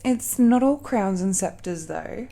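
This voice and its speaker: A woman's voice: medium pitch, lively intonation, gravelly, warm tone. A woman playing an affectionate, teasing dragon-blooded girlfriend in an intimate romantic audio roleplay, speaking in a coy and playful way. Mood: boastful, delighted. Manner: slow, playful, giggly, coy.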